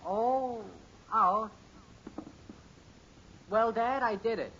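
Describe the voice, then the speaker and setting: Eager, bright-voiced teenage boy. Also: sits high, very slow, full character voice. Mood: eager, proud. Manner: earnest, excitable, slangy. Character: eager, naive, stubbornly optimistic teenage son. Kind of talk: 1950s radio sitcom acting. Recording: muffled, hissy old radio recording.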